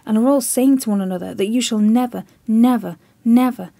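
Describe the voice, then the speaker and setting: A woman voicing a terrified young sailor in a spoken story, pleading loudly and desperately. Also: a little high, brisk, vocal fry, dry recording. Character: terrified young sailor driven half mad, male.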